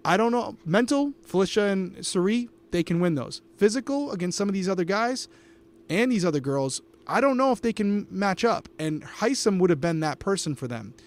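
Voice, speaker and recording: A man's voice: high-pitched, lively intonation, normal speed. A man co-hosting a live podcast recap, talking to his co-host and the chat with emphatic opinions on a studio microphone. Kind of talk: casual live-stream chat.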